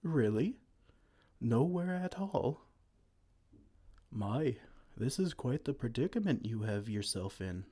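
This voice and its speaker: A man voicing a gentle, kind male giant who talks softly and soothingly in an ASMR roleplay. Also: medium pitch, very animated, takes it slowly, long pauses, slightly rough, exaggerated voice. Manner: soft, soothing, comforting.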